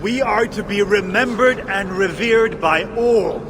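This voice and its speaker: An actor playing a fatalistic, carousing dwarf reveller in a video game, with a declamatory delivery. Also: male voice, high voice, unhurried. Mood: fatalistic, boastful. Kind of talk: video game cutscene acting. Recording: long hall reverb, clear background noise.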